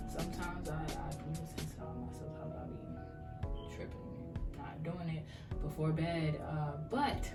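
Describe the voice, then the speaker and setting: A young woman vlogging casually at home about her skincare routine, her voice raspy from allergies, chatty and self-mocking. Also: low-pitched, echoey room, recorded from a distance. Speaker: beauty vlogger. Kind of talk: casual skincare vlog chat. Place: home, at night.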